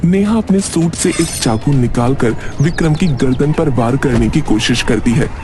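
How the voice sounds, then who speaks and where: A man narrating a dramatic cartoon story in a steady way, with background music. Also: medium pitch, moderate pace.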